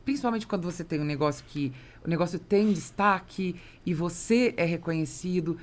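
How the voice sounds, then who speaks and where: A woman's voice: on the low side, normal speed. A woman with a Brazilian accent hosting a business podcast, talking conversationally in an emphatic, explanatory way. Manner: emphatic, explanatory, interjects agreement. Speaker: podcast host and interviewer.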